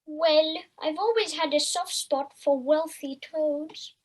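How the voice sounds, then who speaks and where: A woman's voice: high voice, takes it slowly. A woman playing a cheeky, teasing female squirrel with a playful, needling manner in an amateur audio play. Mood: coaxing.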